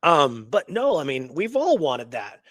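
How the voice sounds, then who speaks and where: A man's voice: fairly high pitch, big pitch swings, measured pace. A man co-hosting a radio show, recounting history in a casual, enthusiastic, informal way.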